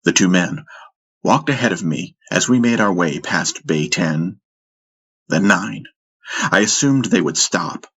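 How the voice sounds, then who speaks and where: A man narrating first-person fiction in a measured, dramatic storytelling manner. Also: low voice, fairly bright tone, husky. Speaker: audiobook narrator.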